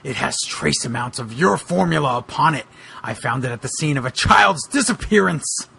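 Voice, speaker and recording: A man voicing an earnest, protective boogeyman in a dramatic fan fiction reading, with a husky, gravelly voice and deliberate, melodramatic delivery. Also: medium pitch, expressive, sharp tone, pitched below the performer's own voice, exaggerated voice. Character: the Boogeyman, earnest protective supernatural male avenger.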